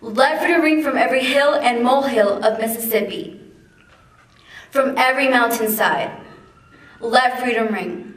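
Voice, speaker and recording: A young woman's fairly high voice carries from a distance in a very echoey room. She recites a famous speech in a measured, declamatory way, as a student reader at a public event. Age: young adult. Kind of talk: dramatic reading of a famous speech. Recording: echoey hall, far from the mic.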